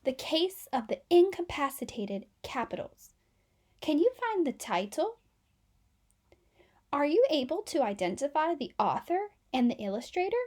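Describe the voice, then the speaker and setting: A woman reading a children's storybook aloud in an expressive storytelling style. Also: sits high, measured pace. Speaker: children's book reader.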